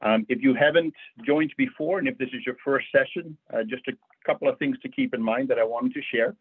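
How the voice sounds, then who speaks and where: A man's voice: mid-range voice, brisk, husky. A man from the Netherlands, presenting a friendly banking webinar with lots of fillers over a video call. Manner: friendly, conversational with frequent fillers. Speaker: bank financial educator. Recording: tinny phone-like audio.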